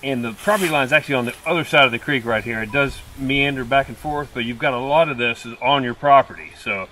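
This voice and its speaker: A man's voice: medium pitch, quick pace, pushed and bright. A middle-aged man casually showing a wooded property outdoors, upbeat and informal like a salesman. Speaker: real estate agent. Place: outdoors in woods by a creek. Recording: distant outdoor camera audio with guitar music.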